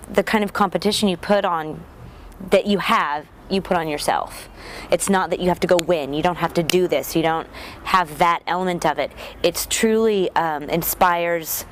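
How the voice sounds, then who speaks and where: Female theatre director, slightly low voice, reflective interview delivery, some ambient noise behind her. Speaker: youth theatre director and teacher. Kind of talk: documentary interview.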